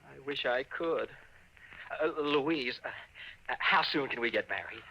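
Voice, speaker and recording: An actor playing a flustered, exasperated fiancé in a 1950s radio comedy, nervous, stammering and pleading. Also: male voice, medium pitch, unhurried, gravelly, sharp tone, husky, exaggerated voice. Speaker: lead character and narrator. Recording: dull, hissy old radio broadcast.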